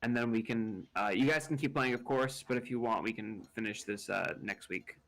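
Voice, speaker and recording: A man's voice: medium pitch, moderate pace, gravelly, fairly bright tone, low-quality recording. Thoughtful man musing on a game stream. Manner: thoughtful, hesitant with fillers and restarts. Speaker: gamer on a group stream. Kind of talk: casual discussion.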